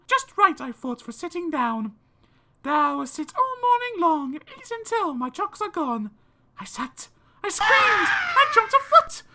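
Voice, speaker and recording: A woman's voice: sits high, pushed and bright. A British woman narrating children's verse as an audiobook reader, theatrical, dramatic and precise.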